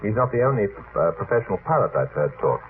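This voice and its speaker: A man's voice: fairly low pitch, very animated, fast. A man moderating an unscripted late-night radio discussion, wordy and hesitant, often cutting in to steer the others. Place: radio studio. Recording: noisy, phone-like vintage radio archive recording.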